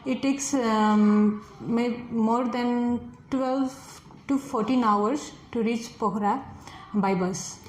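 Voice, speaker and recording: A woman's voice: fairly high pitch, steady tone, slow pace. A woman in her twenties with a Nepali accent, a native Nepali speaker, answering exam questions hesitantly with many fillers. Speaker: test candidate, forest officer. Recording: echoey room with faint noise.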